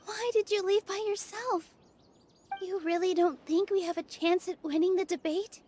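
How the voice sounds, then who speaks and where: An actress voicing a caring young female dancer in a game, gentle yet encouraging. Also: very high-pitched, fairly even intonation, moderate pace, a little hiss or hum. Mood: earnest. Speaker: game character. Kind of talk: video game voice acting. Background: soft game music.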